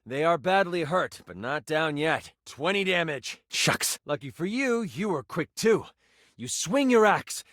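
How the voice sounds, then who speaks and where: A man's voice: on the high side, a bit bright, no echo, studio quality. A man voicing a playful, dramatic tabletop game master in a video game, narrating with theatrical suspense. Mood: excited, triumphant. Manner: theatrical, suspenseful storytelling delivery.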